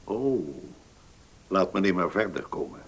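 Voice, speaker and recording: A man playing a formal, courteous notary with a husky voice in a Dutch radio play. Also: low-pitched, expressive, measured pace, noisy background.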